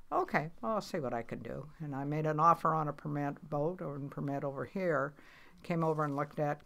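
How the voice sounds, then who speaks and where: Gravelly older woman telling fishing stories. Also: sits low. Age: 80s. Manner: rambling, matter-of-fact, many fillers and restarts. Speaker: guest, commercial fisher. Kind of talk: casual storytelling interview.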